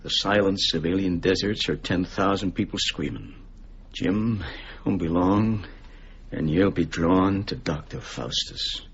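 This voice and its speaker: A man's voice: deep voice, measured pace. A man playing a weary, tender, bookish ageing father in a radio drama, musing and melancholy. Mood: fond, musing. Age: middle-aged. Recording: muffled, noisy radio drama recording.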